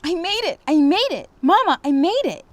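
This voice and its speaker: A woman voicing a proud young girl dancer in a storybook, sassy, bold and quick to retort. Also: extremely high pitch, very animated, pitched above the performer's own voice. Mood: elated.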